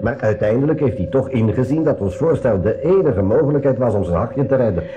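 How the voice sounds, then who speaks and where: An actor with a Belgian Dutch accent playing a practical, eager submarine helmsman who reasons briskly in a school radio play. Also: medium pitch, lively intonation, natural voice. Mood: practical, confident. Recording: noisy radio drama recording.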